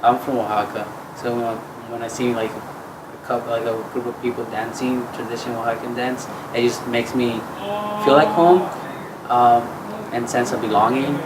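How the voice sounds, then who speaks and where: A young man from Mexico speaks in a flat, even voice, pausing and restarting often as he answers. A clear hum of air conditioning runs behind him throughout. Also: medium pitch. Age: young adult. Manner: halting, full of "like" and restarts. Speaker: student interviewee. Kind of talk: casual interview answer.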